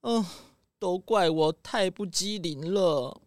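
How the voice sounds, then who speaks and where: A woman's voice: fairly low pitch, takes it slowly, no room sound, studio quality. A woman playing an earnest, apologetic male pangolin in a children's radio drama. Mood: regretful.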